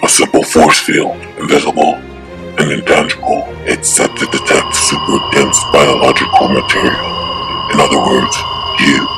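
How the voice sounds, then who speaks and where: A man plays a confident comic-book superhero with an extremely low voice. He declaims with righteous firmness. Loud music runs behind him. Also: level tone, moderate pace. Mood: indignant. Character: upright, confident male superhero. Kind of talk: dramatic superhero comic acting.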